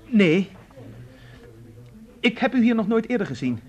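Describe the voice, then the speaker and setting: Earnest, high-voiced young man. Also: sing-song, measured pace, highs cut off. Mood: suspicious. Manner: earnest, insistent. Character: suspicious, challenging young man, protective of his sweetheart. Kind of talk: radio drama acting.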